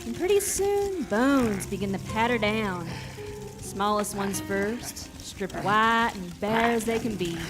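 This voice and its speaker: A woman's voice: mid-range voice, animated, slow, over music. A woman storyteller narrating a folksy horror tale live on stage, with dramatic phrasing and rustic dialect. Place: live stage in a recreation center.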